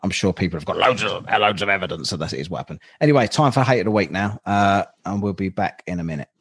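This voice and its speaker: A man in his thirties hosts a podcast with a husky, slightly breathy voice. His pitch swings freely as he rambles and jokes, teasing his co-host in loose, casual banter. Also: lively intonation, quick pace, a bit bright, no room sound. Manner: joking, rambling, laughs at own jokes.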